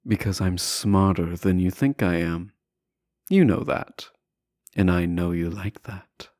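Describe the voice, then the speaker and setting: A man playing a teasing, self-assured deposed prince in a romantic audio roleplay, speaking softly and deliberately with courtly, formal phrasing. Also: deep voice, no echo, clean studio sound. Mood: smug, coaxing. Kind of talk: romantic audio roleplay acting, addressing the listener.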